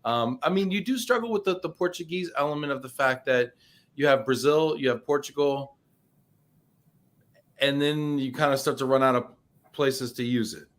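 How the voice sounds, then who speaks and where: A man hosting a live interview show at an everyday pitch. he teases his guest in a playful way and reads viewer comments aloud. he often restarts his sentences mid-thought. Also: normal speed. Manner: playful, teasing; frequent restarts and fillers. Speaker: show host.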